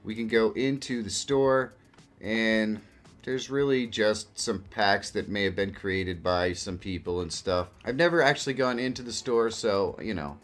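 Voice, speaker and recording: A man hosting a casual software walkthrough as a video tutorial. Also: on the low side. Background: background music.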